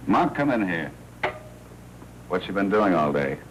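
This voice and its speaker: 1940s American racket boss: low, animated, giving orders, noisy vintage soundtrack. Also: male voice, measured pace. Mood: cool, commanding. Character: commanding crime boss of a gambling club. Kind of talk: 1940s film noir acting.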